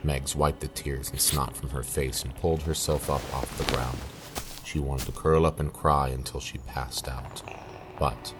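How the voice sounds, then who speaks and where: A male narrator with a profoundly deep voice tells a scripted audio drama. His delivery is dramatic and suspenseful, and music plays clearly behind him.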